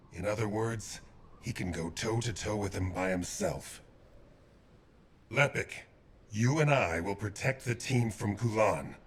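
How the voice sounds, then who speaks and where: An actor playing a grave, authoritative squad leader giving orders in a video game. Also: male voice, medium pitch. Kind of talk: dramatic video game voice acting.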